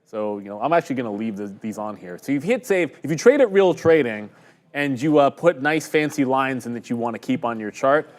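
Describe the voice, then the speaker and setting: A man's voice: mid-range voice, lively intonation, a little fast. Guy hosting a live trading stream, rambling, talks through his charts, jokes at his own expense. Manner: rambling, conversational, self-deprecating. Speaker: day-trading stream host. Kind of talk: live market commentary.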